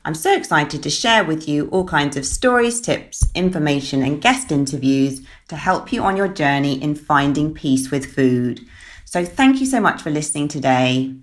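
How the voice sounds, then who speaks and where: A woman hosting a podcast as an eating disorder therapist, reading a scripted intro and then chatting warmly with a guest, laughing often. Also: low-pitched, lively intonation, moderate pace.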